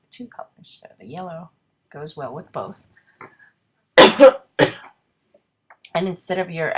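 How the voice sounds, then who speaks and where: A woman's voice: on the low side, breathy edge. A congested woman with a cold narrating her card-making casually, thinking aloud and poking fun at her own mess. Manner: chatty, self-deprecating, thinking aloud with many ums. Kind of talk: casual craft video narration.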